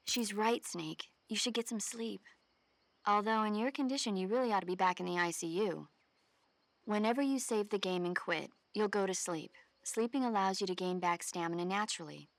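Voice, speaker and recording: Caring, instructive female medic voiced by an actress, video game dialogue, mid pitch, close dry sound. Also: moderate pace, dry recording, close to an everyday voice. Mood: gently scolding. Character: caring, gently scolding female doctor on radio.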